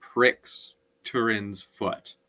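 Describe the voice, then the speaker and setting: A man recapping a story in a casual book discussion, often halting as he searches for words. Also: lowish voice, fairly even intonation, sharp tone. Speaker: podcast co-host.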